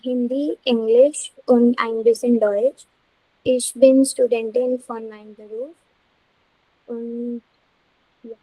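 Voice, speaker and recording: A woman's voice: on the high side, fairly even intonation, slow, pauses often, dark tone, slightly rough, no echo. A nineteen-year-old woman student with an Indian accent, native in Punjabi, speaking carefully and hesitantly in class. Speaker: language student. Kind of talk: careful self-introduction in class. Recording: faintly noisy online call with ambience.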